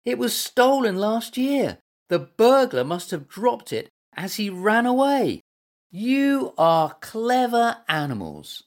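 A woman voicing an excited, eager young boy in a children's story. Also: a little high, slow pace, voice pushed up. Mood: amazed. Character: excited young boy greeting his animal friends.

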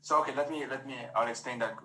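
A man's voice: mid-range voice, fast, bright, forceful tone, slight background noise. A man from Lebanon hosting an interview, asking rambling, hesitant questions. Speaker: podcast host. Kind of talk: casual interview talk.

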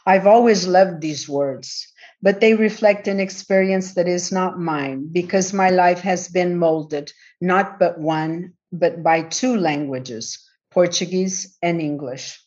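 A middle-aged woman from the Azores, raised in California, gives a conference talk from a prepared paper. Her voice sits a little low and her delivery is measured and reflective. The recording sounds dull and lo-fi. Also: darkish tone. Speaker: conference panel speaker. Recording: dull lo-fi audio.

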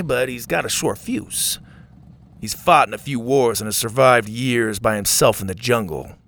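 A man voicing an easygoing, gruff biker camp counselor in an audiobook, with a casual, blunt, teasing manner. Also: mid-range voice, fairly bright tone, slight background noise.

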